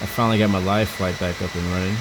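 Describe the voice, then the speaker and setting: Very deep-voiced streamer woman from Houston. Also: fairly even intonation, fast talker, background noise. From Houston, Texas. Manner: rambling and chatty, frequent restarts and stumbles. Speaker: flight sim livestreamer. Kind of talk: casual live-stream chat while flying.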